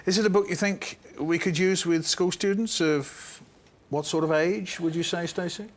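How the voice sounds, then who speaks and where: A man's voice: high voice, fairly even intonation, normal speed. A man presenting a book programme and putting questions to his panel by name. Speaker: programme host.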